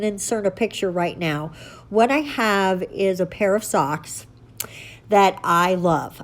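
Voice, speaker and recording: A woman with a stuffy nose from allergies, hosting her nail art channel in an upbeat, chatty tutorial style. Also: medium pitch. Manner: chatty, upbeat, self-correcting. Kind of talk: casual tutorial chat.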